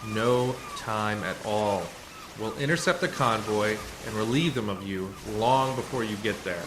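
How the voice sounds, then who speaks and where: A man playing a calm, assured undercover rescuer in a sci-fi radio play, speaking in a measured, explanatory way. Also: on the low side, lightly acted. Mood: reassuring, matter-of-fact. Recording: noisy community radio drama recording.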